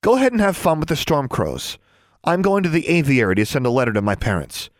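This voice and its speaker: A man's voice: on the high side, sing-song, fast talker, dry recording, clean studio sound, pitched above the performer's own voice. A man voicing an exasperated, anxious young schoolgirl who speaks with sarcastic indignation in a storybook. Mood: huffy.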